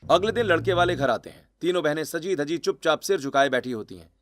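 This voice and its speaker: A man's voice: a little high, expressive, a little fast, no room sound, clean studio sound. A man narrating a scripted cartoon family story.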